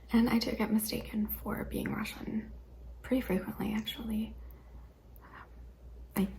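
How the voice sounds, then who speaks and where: A young adult woman hosting an ASMR questionnaire video, speaking mostly in a hesitant whisper with frequent ums and soft laughs. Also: on the high side, flat delivery, measured pace, creaky, long pauses. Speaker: ASMR channel host.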